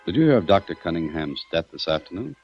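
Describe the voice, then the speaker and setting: A man's voice: deep voice, big pitch swings, fast talker, husky, soft background music, exaggerated voice. An actor playing a shrewd, cool-headed investigator in an old-time radio crime drama, commanding and sardonic as he needles his suspect. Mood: coolly accusing. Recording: dull vintage radio recording.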